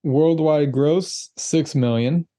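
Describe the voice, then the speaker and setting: Mellow-voiced man co-hosting a movie podcast. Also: fairly high pitch, slow, dry recording, studio quality. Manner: relaxed, reads out ratings and box office figures. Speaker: podcast co-host. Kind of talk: casual movie review chat.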